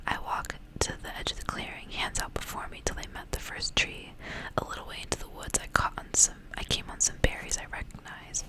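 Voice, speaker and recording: A woman whispering softly and breathily in an ASMR style, hushed, as she reads and chats close to the mic. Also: poor audio. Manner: hushed, repeats words softly. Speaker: ASMR host reading stories aloud. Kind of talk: whispered ASMR, story reading and casual rambling.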